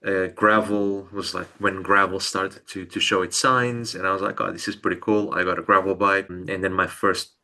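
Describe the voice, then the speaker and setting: A man's voice: low voice, steady tone, normal speed, hardly any pauses, pushed and bright. A young man from Portugal, a podcast guest telling bike travel stories in a hesitant way, often repeating words. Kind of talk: casual storytelling interview.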